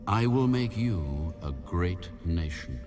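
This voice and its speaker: Male actor as solemn divine figure, deep voice, widely swooping intonation, weighty scripture delivery, audio Bible drama, music underneath. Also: animated, takes it slowly. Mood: solemn, commanding.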